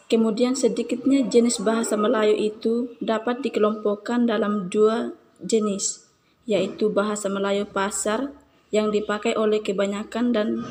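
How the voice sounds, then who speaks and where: Young woman reading in a flat tone. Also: medium pitch, slight background noise. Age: young adult. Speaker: student presenter. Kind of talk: reading a class presentation.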